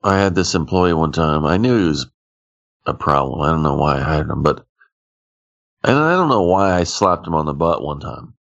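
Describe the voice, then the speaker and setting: A laid-back man tells stories in a very low voice. He sounds unhurried and easygoing. The recording is slightly dull and muffled. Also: lively intonation, a bit bright. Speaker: podcast co-host. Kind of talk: casual chat.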